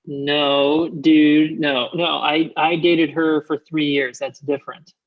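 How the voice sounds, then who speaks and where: Muffled comedy read: man as sheepish young guy, halting and slow. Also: fairly high pitch, flat delivery. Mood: protesting. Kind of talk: comedy script table read.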